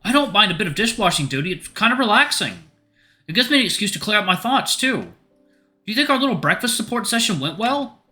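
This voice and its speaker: A man's voice: high voice, sing-song, fairly quick, pushed and bright, soft background music, voice pushed up, full character voice. A man voicing a playful, caring young man who talks in a light, teasing, earnest way. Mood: gentle, relaxed.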